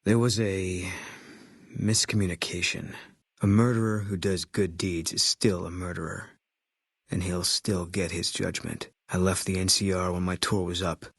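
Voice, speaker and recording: An actor playing a terse, guilt-haunted ex-army sniper in a video game, deadpan and clipped, with a low husky voice. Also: male voice, moderate pace, dry recording, studio quality, exaggerated voice. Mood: bitter, guarded. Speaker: video game companion character.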